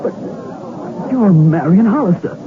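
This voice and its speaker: An actor in an old-time radio drama playing a frightened, bewildered murder suspect who stammers and pleads. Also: male voice, sits high, animated, measured pace. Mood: startled. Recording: muffled, noisy old radio recording.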